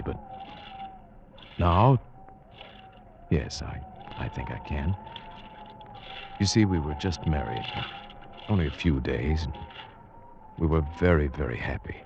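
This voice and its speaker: A man's voice: extremely low pitch, animated, measured pace, vocal fry, long pauses, full character voice. A man acting as a lonely, haunted sailor in old radio drama, brooding and earnest as he narrates and speaks. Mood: wounded, subdued. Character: lonely, haunted, lovestruck male sailor narrating his past. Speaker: narrator and lead actor. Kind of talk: 1940s-50s radio drama acting and first-person narration. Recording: dull vintage radio recording with music behind.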